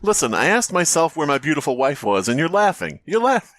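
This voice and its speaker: A man's voice: on the high side, very animated, fast, a bit bright, slight background noise. A man co-hosting a comedy podcast, bantering over a horror story in a joking, mock-exasperated, sweary way. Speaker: podcast co-host.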